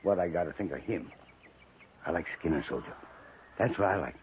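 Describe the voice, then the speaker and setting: An actor playing a coarse, boastful buffalo skinner in a 1950s radio western, talking in a rough, folksy, rambling frontier manner. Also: male voice, low-pitched, lively intonation, a little fast, phone-quality sound, a little hiss or hum. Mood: gruff, guarded. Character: boastful, earthy old-West buffalo skinner, coarse and relishing. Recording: thin, band-limited 1950s radio recording.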